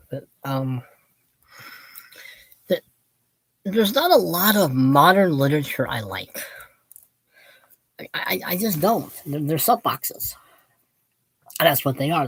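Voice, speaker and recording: Woman, late fifties, low pitch, dry recording, casual book chat, rambling, opinionated, self-deprecating. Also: sing-song, slow, pauses often, breathy edge, dry recording. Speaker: book vlogger.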